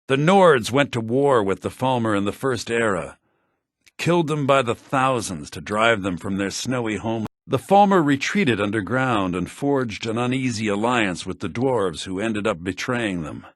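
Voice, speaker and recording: A male actor playing a refined, earnest scholar-mage in a video game, speaking in an articulate, formal, measured way. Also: fairly low pitch, expressive, no room sound, studio quality. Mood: grave, explaining. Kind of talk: video game character dialogue.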